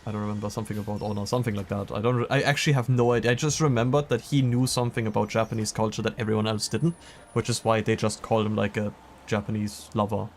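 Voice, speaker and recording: A man's voice: on the low side, fairly even intonation, fast, a little hiss or hum. A young man streaming a video game, chatting casually in a joking, rambling way. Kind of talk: casual chat over gameplay.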